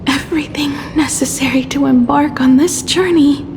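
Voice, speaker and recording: An actress playing a frail young woman engineer in a game drama, soft and breathy, with a wistful, weary manner. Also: sits high, fairly even intonation, noisy background. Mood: despairing, wistful. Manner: slow, sighing, wistful.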